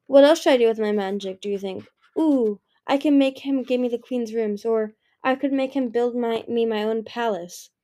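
A spoiled young dragon princess, put on by a woman reading the story, talks in a lifted, wheedling way that tips into whining when cornered. The tone is soft and mellow rather than sharp. She sounds young, pampered and used to getting her way. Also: a little high, normal speed, few pauses, lightly acted. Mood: sugary. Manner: bright, wheedling and whiny.

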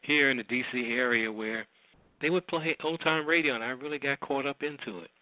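A man's voice: medium pitch, fairly quick, dry recording. A middle-aged American man hosting a radio show, rambling conversationally through a casual, unscripted sign-off. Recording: roomless, dry, tinny phone-like line.

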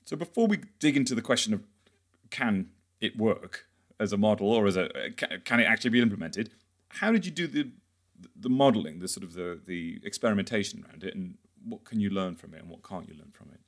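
A man hosting a podcast interview, asking questions conversationally and rephrasing haltingly as he goes. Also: lowish voice, lively intonation.

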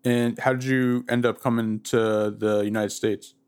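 A man's voice: medium pitch, flat delivery, measured pace, smooth. A man hosting a soccer podcast, asking laid-back questions and giving short replies. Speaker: podcast host. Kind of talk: casual interview.

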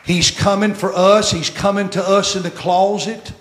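A pastor in his sixties preaching a sermon, repeating phrases as he swells loud, then dropping very soft. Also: high-pitched, level tone, moderate pace. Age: 60s. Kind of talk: sermon with storytelling. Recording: echoey church room.

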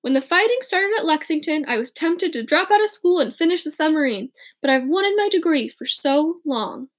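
A woman's voice: high voice, animated, pitched above the performer's own voice. A woman reading as a fervent young inventor. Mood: earnest. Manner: fervent, quick to rally. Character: eager intense young male inventor. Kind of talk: audiobook character reading.

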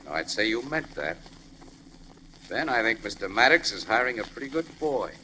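An actor playing a breezy, wisecracking American newspaper crime photographer in a 1940s radio drama. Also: male voice, mid-range voice, expressive, normal speed, close to an everyday voice. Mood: easygoing, knowing. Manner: wisecracking, slangy, easygoing. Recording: noisy old radio broadcast recording.

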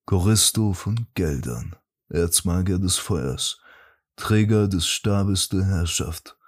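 A man voicing a solemn, righteous archmage priest who speaks in a formal, pompous, husky way in a fantasy audiobook. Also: sits low, lively intonation, takes it slowly, exaggerated voice. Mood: pompous gravity. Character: solemn, righteous archmage priest reading his letter aloud. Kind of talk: fantasy audiobook, scripted dramatic monologue.

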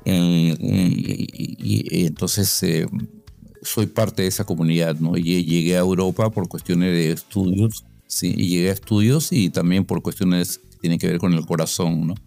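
Deep gravelly guy talking slow and hesitant, keeps tagging on little questions, faint music. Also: fairly even intonation, mellow. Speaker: guest. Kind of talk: relaxed interview answers.

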